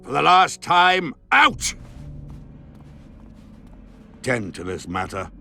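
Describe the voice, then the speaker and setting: An actor playing a haughty, irritable baron in a video game, speaking in an imperious, pompous and scornful manner. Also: male voice, a little high, very animated, unhurried, gravelly, heavily acted. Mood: angry. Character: haughty, irritable male baron, contemptuous aristocrat. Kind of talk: dramatic video game acting. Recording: loud music behind the voice.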